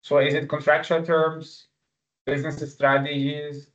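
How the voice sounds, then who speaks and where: A man whose native language is Arabic, teaching an online exam-review webinar with live quiz questions in an informal, halting way. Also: a little high, flat delivery, fairly bright tone. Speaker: exam-prep instructor. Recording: dull, lo-fi webinar audio.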